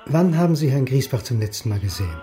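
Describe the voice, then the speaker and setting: Radio drama lead: actor as reserved male historian, mellow voice, dry, terse, reluctant investigator. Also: medium pitch, very animated, fast, faint music behind, lightly acted. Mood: probing. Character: weary, reserved historian reluctantly playing detective. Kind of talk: naturalistic radio play acting.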